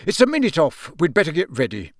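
A man's voice: on the high side, huge pitch swings, quick pace, dry recording, studio quality. A man voicing a friendly, polite middle-aged wizard father in an audiobook, mild-mannered and matter-of-fact. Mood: brisk.

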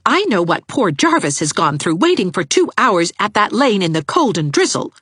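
A woman voicing a brisk, sharp-tongued young woman who gives sarcastic orders. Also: mid-range voice, very animated, breathy edge, dry recording, clean studio sound, voice pushed up.

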